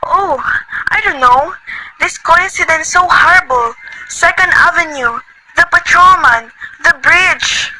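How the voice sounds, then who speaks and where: A woman playing a shrill, frantic invalid wife. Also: unhurried, full character voice. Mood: anxious. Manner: shrill, agitated, imperious. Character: nervous bedridden wealthy woman, demanding. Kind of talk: amateur radio play acting. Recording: loud noisy recording.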